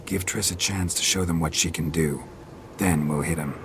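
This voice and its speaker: Seasoned warrior for a fantasy game, played by a man: very low male voice, terse and deadpan, unhurried calm, noisy ambient backdrop. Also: natural voice. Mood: measured, instructing. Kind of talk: video game dialogue.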